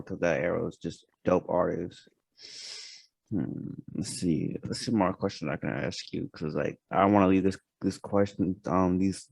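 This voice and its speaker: A man's voice: low voice, gravelly, breathy edge, dry recording. A man hosting a casual interview, asking long rambling questions full of fillers and restarts. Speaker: podcast host.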